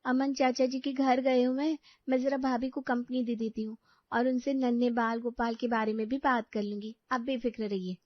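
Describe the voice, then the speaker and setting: A woman's voice: a little high, flat delivery, normal speed, dry recording, highs cut off, close to an everyday voice. A woman playing a chatty, caring young sister-in-law in a cartoon story, eager and earnest. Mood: polite.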